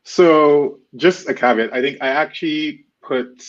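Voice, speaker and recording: A man on a panel talking quickly, with many restarts. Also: fairly high pitch. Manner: fast, halting restarts. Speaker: founder, panelist. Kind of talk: panel discussion. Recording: dull lo-fi audio.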